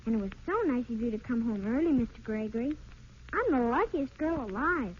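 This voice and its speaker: A sweet young girl acted by a woman speaks in a high, earnest voice, polite and full of wonder. It is old-time radio drama, with a muffled sound and clear background noise. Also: animated, a little fast, a little mellow, close to an everyday voice. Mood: happy, grateful. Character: sweet, earnest young orphan girl, tender big sister. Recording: dull, noisy old radio recording.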